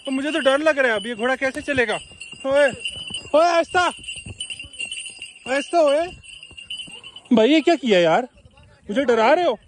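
A young man filming himself at arm's length on a mountain trail, joking excitably and often out of breath. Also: very high voice, unhurried, long pauses, noisy background. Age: young adult. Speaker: travel vlogger. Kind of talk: casual travel vlog. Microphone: handheld phone camera at arm's length.

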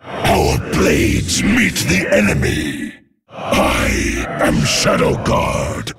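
An actor playing a stern, devoted alien shadow warrior in a video game, gravelly and solemn, speaking in formal proclamations. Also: male voice, exaggerated voice. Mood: menacing, solemn. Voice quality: gravelly, very deep. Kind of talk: video game unit voice lines. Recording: music under the voice.

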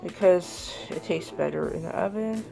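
Chatty woman with a fading, gravelly voice. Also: lowish voice, normal speed, over music. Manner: chatty, talking to camera while cooking. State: losing her voice from a cold. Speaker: vlogger. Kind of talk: casual home cooking vlog. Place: small home kitchen. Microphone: camera on the counter.

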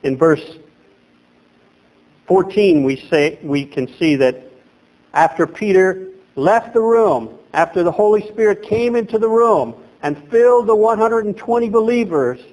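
Man, thirties, pastor, emphatic gesturing preacher, higher voice, small chapel, distant camera. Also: lively intonation, a little slow, a little mellow. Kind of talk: sermon.

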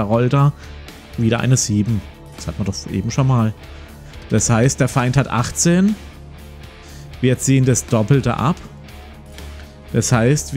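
A man's voice: mid-range voice, darkish tone. A man in his forties hosting a let's play, reading a gamebook aloud and commenting casually. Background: plucked guitar music.

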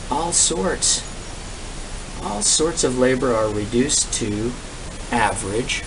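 A man in his sixties reads a speech aloud outdoors, with deliberate, emphatic phrasing, pauses and repeated words. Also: on the low side. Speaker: reader of a political economy text. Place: outdoors on a brick-paved patio. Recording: distant mic, steady outdoor background noise.

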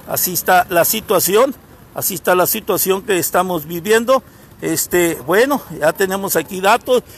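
A high, bright male voice from reports live from a street crime scene. He speaks in an indignant, repetitive way, hammering on the authorities. The phone recording carries faint traffic noise from the street. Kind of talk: live on-scene news commentary. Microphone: phone camera held by the reporter.

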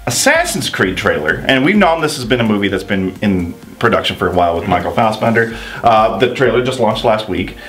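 Low-voiced man co-hosting a gaming show. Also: sing-song, brisk, hardly any pauses, a bit bright, faint music behind. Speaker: co-host of a video game show. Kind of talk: casual scripted-topic chat.

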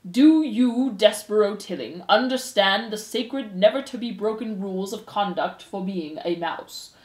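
A woman voicing a stern old male head mouse who speaks slowly and formally, as in a storybook. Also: medium pitch, darkish tone, roomy sound, close to an everyday voice. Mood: commanding. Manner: slow, formal, commanding delivery.

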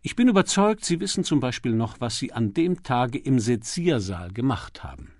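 A man's voice: medium pitch, very animated, moderate pace, a little mellow, no room sound, studio quality. A man voicing a patient, confident aristocratic amateur detective who questions courteously and coaxingly in an audiobook.

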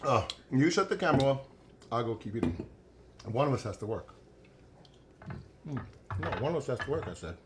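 A man's voice: mid-range voice, very animated, brisk, pauses often, noisy background. A middle-aged man hosting a cooking show in a home kitchen, chatty and joking as he demonstrates a recipe.

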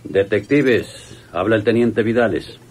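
An actor playing a gruff, watchful police lieutenant in a radio drama. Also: male voice, on the low side, a little slow, noisy background, lightly acted. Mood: concerned, wary.

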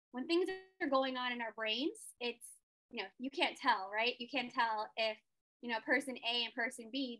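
Bright-voiced woman presenting a webinar. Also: on the high side, low-quality recording. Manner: chatty, many fillers and tag questions. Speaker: webinar speaker. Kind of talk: casual presentation on a video call. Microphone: laptop mic on a video call.

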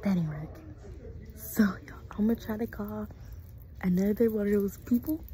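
Young female vlogger, American, dark soft-edged tone, casual slangy delivery, handheld phone sound with slight room noise. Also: mid-range voice. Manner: informal, slangy, halting, drops to hushed asides. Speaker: vlogger making prank calls. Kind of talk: casual chat to camera. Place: small indoor room. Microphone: phone held at arm's length.

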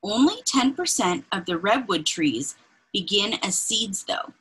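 A woman reading a children's picture book aloud in an expressive, deliberate, breathy way, adding informal asides as she points things out. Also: medium pitch, low-quality recording. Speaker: read-aloud reader. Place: indoors, small room with bookshelves.

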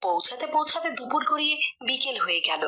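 A woman's voice: high voice, big pitch swings, fast talker, very noisy. A woman reading scripted story narration for a cartoon.

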